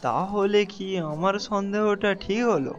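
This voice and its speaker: A man playing a sly, envious village fishmonger in an animated folk tale, chummy on the surface, with a wheedling, theatrical delivery. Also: high voice, measured pace. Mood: suspicious. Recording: dull lo-fi sound, music underneath.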